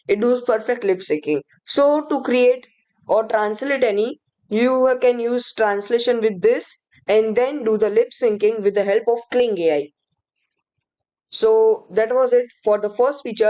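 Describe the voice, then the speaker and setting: A woman, teaching a software tutorial in a chatty step-by-step way, using frequent fillers. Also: a little high, highs cut off. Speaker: course instructor. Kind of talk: screen-recorded software tutorial.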